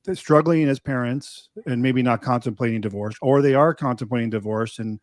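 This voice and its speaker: A man's voice: mid-range voice, a little fast, no room sound. Dry-sounding male podcast host. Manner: rambling questions with fillers. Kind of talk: casual interview talk.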